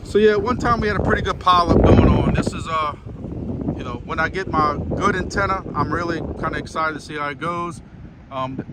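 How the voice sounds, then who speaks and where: A man chatting casually in a rambling, easygoing way, often restarting his sentences, while recording a ham radio vlog outdoors on the coast. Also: fairly high pitch. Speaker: ham radio operator vlogger. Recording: wind and vehicle noise outdoors.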